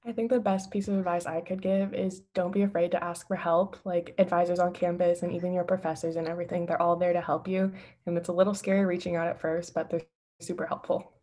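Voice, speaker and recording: Young female student from Southern California, fast monotone talker, dark lowish voice. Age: young adult. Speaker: orientation leader. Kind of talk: casual panel advice.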